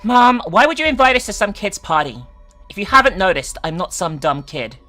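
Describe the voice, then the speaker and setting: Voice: mid-range voice, sing-song, fairly quick, pushed and bright, faint music behind. A narrator voicing a hot-tempered thirteen-year-old boy, sullen, indignant and confrontational.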